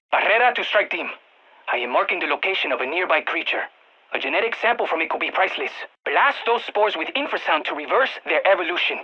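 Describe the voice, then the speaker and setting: Excitable scientist, husky, Spanish-accented. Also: male voice, high-pitched, muffled recording. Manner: animated, exclamatory, peppers speech with Spanish interjections. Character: excitable, quirky male exogeologist supporting a military strike team. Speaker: science advisor on the radio. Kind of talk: video game voice acting, mission radio callouts.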